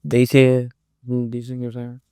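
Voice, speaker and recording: A man's voice: medium pitch, monotone, fast talker, mellow, smooth, dry recording, studio quality. A man from Southeast Washington DC with a DC accent, talking laid-back and slangy as a guest on an interview podcast. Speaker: rapper, guest. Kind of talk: casual interview chat.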